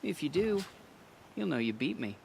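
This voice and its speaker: A boastful rival hunter, with a fairly high male voice and lively swings in pitch. He sounds provocative and teasing, in video game style. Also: normal speed, gravelly, a little hiss or hum. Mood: cocky, teasing.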